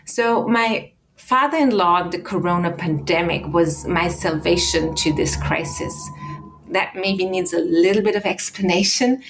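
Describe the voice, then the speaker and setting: A woman from Austria in her thirties speaks in a lowish, measured voice. She tells her own story as a guest, pausing and restarting now and then as she goes. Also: slight background noise, rough recording. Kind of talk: personal account in an interview.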